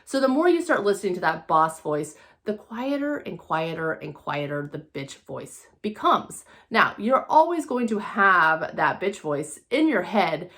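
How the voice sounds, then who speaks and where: A woman's voice: fairly low pitch, very animated, unhurried, few pauses, fairly bright tone. A woman giving a casual, conversational motivational talk as a vlogger, repeating phrases for emphasis.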